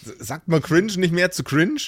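A man's voice: fairly high pitch, big pitch swings, moderate pace, husky, no room sound, clean studio sound. A man acting as game master, improvising scene descriptions for a tabletop role-playing game.

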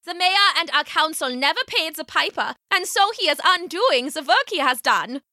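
A woman's voice: animated, moderate pace, bright, forceful tone, no echo, clean studio sound, exaggerated voice. A woman voicing an anxious, outspoken village woman who cries out with urgency. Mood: outraged. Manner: high, urgent crying out.

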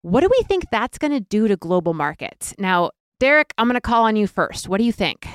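A woman's voice: mid-range voice, big pitch swings, a little fast, clean tone, no echo, studio quality. A woman hosting a podcast, polished and friendly as she introduces guests and reads scripted questions. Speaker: podcast host.

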